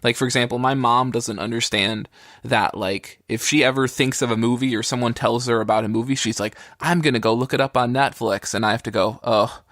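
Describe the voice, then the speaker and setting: A man's voice: medium pitch, fairly even intonation, fairly quick, fairly bright tone, no echo, clean studio sound. A man co-hosting a casual podcast, talking in a wry, conversational way. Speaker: podcast co-host.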